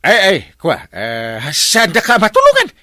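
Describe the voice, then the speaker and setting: A man's voice: sits high, huge pitch swings, measured pace, bright, forceful tone, no room sound, clean studio sound, exaggerated voice. A man acting a bumbling, evasive village captain in a comic radio drama, stammering and exclaiming with an Ilocano Filipino accent. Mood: evasive, sleepy. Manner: stammering, flustered, repeated exclamations.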